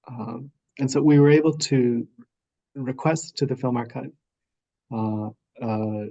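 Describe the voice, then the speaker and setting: A man speaking as a festival programmer on an online panel, pausing often with ums and uhs. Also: mid-range voice, mellow, slightly rough, poor audio. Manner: hesitant, full of ums and uhs. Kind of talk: casual panel discussion over video call. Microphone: video call microphone.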